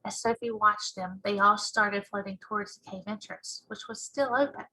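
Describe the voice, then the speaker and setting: Bright, husky woman reading a storybook. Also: medium pitch, fairly even intonation, normal speed, low-quality recording. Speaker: teacher reading aloud. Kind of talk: storybook narration, modeled read-aloud.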